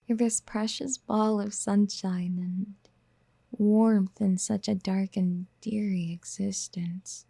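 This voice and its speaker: Casting: actress as obsessive motherly yandere for ASMR roleplay, very mellow and breathy, slow whispered coaxing, tender and possessive. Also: medium pitch, steady tone, no room sound, heavily acted. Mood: worried, doting. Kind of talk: soft-spoken ASMR roleplay acting. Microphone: close mic.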